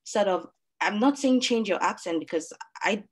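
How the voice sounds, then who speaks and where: A woman's voice: medium pitch, normal speed, slightly rough. Nigerian woman moderating a video call. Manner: relaxed, many fillers. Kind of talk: panel discussion.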